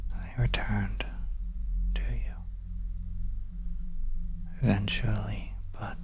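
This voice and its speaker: Someone performing a somber, cryptic narrator in a horror ASMR monologue, whispering hesitantly and broodingly to a fallen dragon, with long pauses. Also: sits low, exaggerated voice. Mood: somber, hushed. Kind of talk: horror ASMR, second-person scripted monologue. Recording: steady ambient noise under whispering. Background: oppressive ambience.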